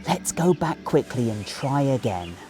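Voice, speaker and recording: A man voicing a brisk, helpful male railwayman in a friendly, urging manner for a children's storybook. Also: mid-range voice, very animated, warm tone, faint music behind.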